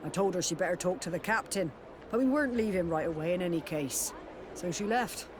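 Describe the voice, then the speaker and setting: Man acting a high-voiced kindly sailor in noise. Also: a little fast, natural voice. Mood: helpful, sympathetic. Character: kindly, sympathetic, trusting male sailor. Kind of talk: video game cutscene dialogue. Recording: clear noise, outdoor ambience behind.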